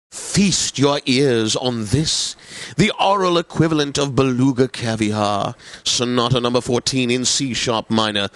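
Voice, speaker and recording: A man's voice: mid-range voice, dry recording, lightly acted. An actor playing a pompous, suave and lecherous male classical radio DJ in a comedic video game, announcing with theatrical relish. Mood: suave, formal. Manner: pompous, theatrical, savouring words.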